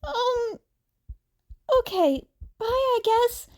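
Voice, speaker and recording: A woman voicing a nervous teenage boy vigilante in a story, halting and stammering as he talks. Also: extremely high pitch, expressive, darkish tone, pitched above the performer's own voice, heavily acted. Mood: awkward.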